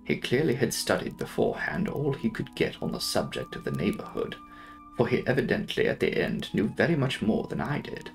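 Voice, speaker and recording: A man's voice: on the low side, sing-song, fairly quick, vocal fry, soft background music, rough recording. A young man giving a dramatic narration of a classic novel as an audiobook reader.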